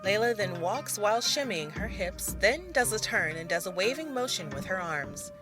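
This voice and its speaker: A woman's voice: mid-range voice, sing-song, moderate pace, over music. A woman narrating a scripted audio drama as its storyteller.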